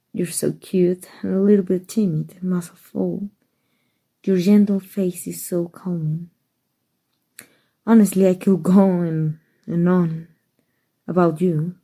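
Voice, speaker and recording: An ageless vampire lady of high standing, acted by a woman for an ASMR roleplay. Her voice sits slightly low and is extremely soft-edged and dark. She speaks with gentle, unhurried composure and a fond, genteel manner. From Mexico. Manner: gentle, genteel, measured, affectionate. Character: kind, composed, centuries-old female vampire aristocrat.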